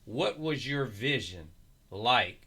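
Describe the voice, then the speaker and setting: Casting: male interview host, bright forceful tone, mid pitch. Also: animated, slow pace, slightly rough. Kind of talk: casual interview.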